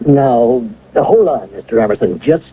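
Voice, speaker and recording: An actor playing a pragmatic police detective sergeant in a radio drama, explaining things in a halting, hesitant way. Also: male voice, mid-range voice, animated, breathy edge. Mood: firm, placating. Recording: muffled old radio broadcast, faint noise.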